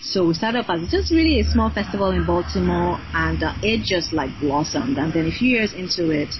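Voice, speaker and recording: An energetic woman of Nigerian heritage, a singer and designer, chatting freely as a podcast guest with many fillers. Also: lowish voice, fairly quick, dull audio, noisy background. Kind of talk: casual interview chat.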